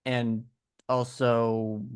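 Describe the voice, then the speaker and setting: A man from the US with a Midwest Chicago accent, co-hosting a film podcast in casual, halting chat. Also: mid-range voice, level tone, clean tone. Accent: Midwest American, Chicago. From USA. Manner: halting, trails off and restarts. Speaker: podcast co-host.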